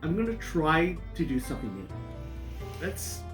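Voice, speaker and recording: A man in his fifties, a guest musician, talking in a reflective, halting way with many restarts. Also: fairly high pitch, big pitch swings. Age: 50s. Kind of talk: casual conversation. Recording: roomy sound with guitar music behind.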